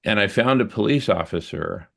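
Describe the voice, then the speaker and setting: Deep gravelly man telling his story. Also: expressive, a bit bright. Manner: earnest, halting, reflective, with many fillers and restarts. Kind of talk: personal storytelling in a group conversation.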